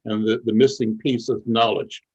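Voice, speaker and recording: An older man hosting an interview, speaking in a measured, reflective way with frequent pauses. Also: on the low side, little pitch movement, darkish tone, husky. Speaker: interviewer, show host.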